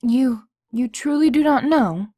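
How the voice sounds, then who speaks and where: Humble djinni mare, formal and imploring, fairly high voice, dry close sound. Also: female voice, measured pace, mellow, dry recording. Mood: earnest. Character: pleading female djinni spirit, a servile mare. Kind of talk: fan audiobook voice acting.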